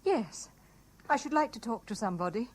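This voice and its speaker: An actress with a British accent playing a wistful, enigmatic married woman in a 1970s radio drama, musing with soft intensity. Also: fairly high pitch, lively intonation, a little fast, close to an everyday voice. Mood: gentle, curious. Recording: noisy 1970s radio broadcast recording.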